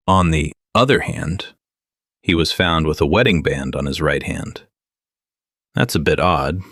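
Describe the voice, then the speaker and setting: Conspiracy show host, deep low voice, wry, conspiratorial, conversational, audio drama monologue, dry recording. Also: male voice, fairly bright tone, no echo, heavily acted. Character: wry conspiracy radio show host, Miller, grown man.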